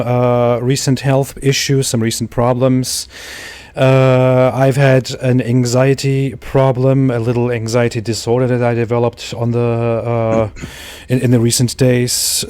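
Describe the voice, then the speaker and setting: A male livestream host with an even, unvaried pitch and a dry, close sound. He stumbles, restarts and repeats words while welcoming listeners and guiding the chat. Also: smooth, no echo.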